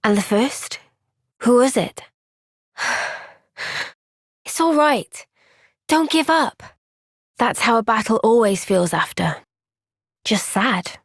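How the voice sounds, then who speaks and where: An actress voicing a young woman soldier in a game cutscene, soft-spoken and caring. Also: a little high, slow, long pauses, dry recording, clean studio sound.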